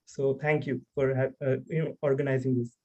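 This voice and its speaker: A man's voice: mid-range voice, flat delivery, fairly quick, dark tone, dull audio. A young man from India, a graduate student, introducing himself on a video call in an earnest way with frequent fillers. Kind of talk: self-introduction in online seminar.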